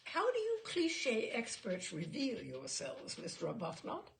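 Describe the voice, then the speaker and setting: A woman's voice: on the high side, huge pitch swings, unhurried, gravelly, a little hiss or hum, exaggerated voice. A woman reader playing a formal, courteous, methodical examiner who questions a witness in a comic story. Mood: brisk, probing.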